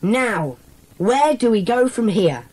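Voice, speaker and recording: A woman playing a bossy, sensible schoolboy leader in a children's audio drama, speaking in a brisk, decisive way. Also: a little high, expressive, darkish tone, slightly rough, exaggerated voice. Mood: authoritative.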